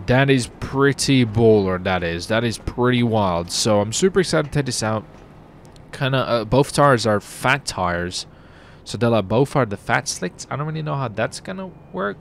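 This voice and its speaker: Hyped up guy doing game commentary, middle pitch, gets loud and squeaky when surprised, bit of car noise behind. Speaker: gameplay streamer. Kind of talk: roleplay gameplay commentary.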